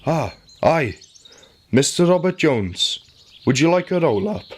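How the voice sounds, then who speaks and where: An actor playing an easygoing, folksy local road worker in a radio play, speaking colloquially. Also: male voice, fairly high pitch, very animated, unhurried, a little hiss or hum. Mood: friendly, casual. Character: easygoing local road worker, reminiscing about old tales.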